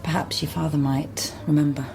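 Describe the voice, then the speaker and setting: Low-voiced, wry British genealogist. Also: female voice, flat delivery, fairly quick, creaky, a little mellow, slight background noise. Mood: wry, businesslike. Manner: dry, wry, matter-of-fact. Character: wry, solitary female genealogist, brisk and self-mocking. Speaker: radio drama lead. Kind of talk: naturalistic radio drama acting. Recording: music under studio radio drama.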